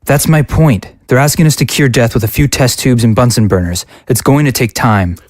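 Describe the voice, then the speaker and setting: An actor playing a calm lab doctor who dictates notes and reasons with his colleague, in a radio drama. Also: mid-range voice, normal speed, no room sound, studio quality, close to an everyday voice. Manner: steady, reasonable. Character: calm, level-headed male lab doctor. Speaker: scientist.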